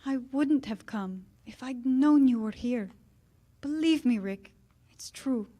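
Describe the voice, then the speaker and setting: A woman playing an earnest romantic heroine in a 1940s radio drama. The voice is fairly high and slow, with a hesitant, pleading manner. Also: dark tone. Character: earnest, pained, romantic young woman, former lover.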